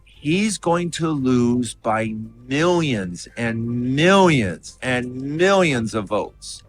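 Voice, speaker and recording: A man's voice: mid-range voice, animated, very slow, faint music behind. A man giving a blunt, insistent political talk straight to the listener.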